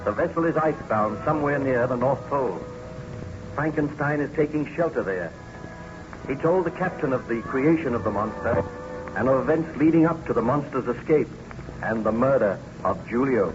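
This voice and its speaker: A man's voice: medium pitch, expressive, normal speed. A man announcing and narrating from a script in 1930s radio style. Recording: muffled old radio broadcast recording. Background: loud music.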